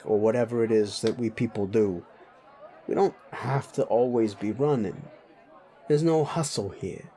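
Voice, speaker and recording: A man's voice: mid-range voice, warm tone, close to an everyday voice. A man playing a sleazy, bullying, foul-mouthed werewolf boss in a roleplay audio drama, blunt and needling. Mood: cocky, teasing. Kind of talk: immersive roleplay audio drama addressed to listener.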